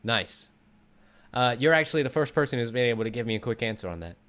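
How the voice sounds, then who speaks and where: A man with a medium-pitched voice hosts a casual interview show. He speaks conversationally, putting questions and show remarks to the listener from a bedroom. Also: lively intonation, fast, a bit bright. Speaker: talk show host.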